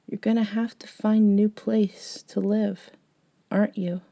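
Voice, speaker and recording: A woman playing a gentle, eccentric young woman, a caring friend, in an intimate ASMR audio roleplay, soft-spoken with hesitant pauses. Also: mid-range voice, level tone, creaky. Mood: tentative, caring.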